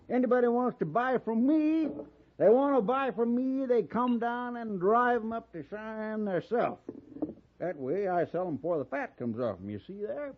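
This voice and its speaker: Blustering high-pitched old Texas cattle baron. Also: male voice, slight background noise, full character voice. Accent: American Western. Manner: blustering, repeats himself, barks orders. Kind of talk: 1950s Western radio drama acting. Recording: muffled 1950s radio recording.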